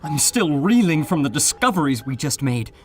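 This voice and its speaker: A man playing an excitable but cautious scientist in an old-time radio adventure serial. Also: a little high, moderate pace, soft background music. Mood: amazed.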